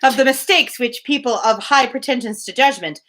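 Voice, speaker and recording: A woman's voice: sits high, moderate pace, a bit bright, slight background noise. A woman reading a novel aloud live as host, expressively, with casual asides. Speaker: reader, livestream host.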